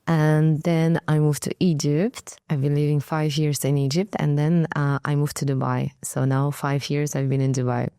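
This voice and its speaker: A woman's voice: low voice, little pitch movement, normal speed, few pauses, dark tone, smooth, dry recording, studio quality. A woman from Kazakhstan whose first language is Russian, speaking as an interview guest in a hesitant way, with many fillers as she searches for words. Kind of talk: conversational interview.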